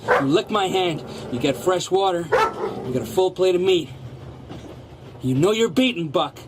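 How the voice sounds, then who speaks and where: Male actor as a sly dog thief, high voice, unhurried, coaxing, theatrical, radio play, noisy background. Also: lively intonation. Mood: taunting, domineering. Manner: coaxing, theatrical, repeats himself. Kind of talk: radio drama acting.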